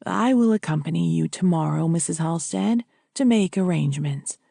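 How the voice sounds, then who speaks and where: A composed, kindly priest voiced by a woman narrator speaks in a lowered, mellow tone. He is gentle and formal, choosing his words deliberately, like a character in a storybook reading. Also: expressive, creaky, no room sound, studio quality, heavily acted.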